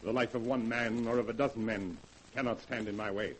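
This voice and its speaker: An actor playing a scheming lab man with plans to rule the world, speaking in smooth, measured, coldly calm tones in 1940s radio-drama style. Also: fairly low pitch, a little hiss or hum, natural voice, rough recording. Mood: cold menacing. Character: scheming male lab assistant turned megalomaniac, cold and calm.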